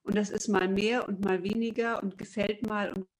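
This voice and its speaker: A woman's voice: medium pitch, level tone, normal speed. A woman interviewing a guest in casual chat, asking probing questions with many fillers.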